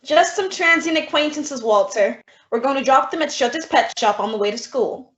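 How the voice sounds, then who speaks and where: A woman acting a dry, quick-witted schoolteacher in an old-time radio comedy. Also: sits high, lively intonation, a bit bright. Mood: dry, questioning. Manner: dry, sardonic. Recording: echoey room, dull audio.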